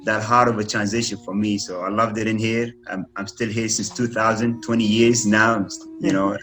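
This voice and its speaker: A man's voice: on the low side, little pitch movement, a little fast, a bit bright, soft background music, rough recording. Guy in his thirties, polite and laughs easy. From Kuwait. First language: Arabic. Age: 30s. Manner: polite, keeps saying yes sir, laughs often. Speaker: guest, former runner and coach. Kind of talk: casual interview answers.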